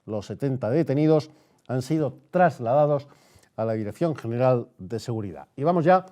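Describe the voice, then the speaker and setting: A man's voice: medium pitch, animated, moderate pace, dark tone. A man presenting on radio in a formal announcer manner. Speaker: radio host. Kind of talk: radio news bulletin and interview presenting.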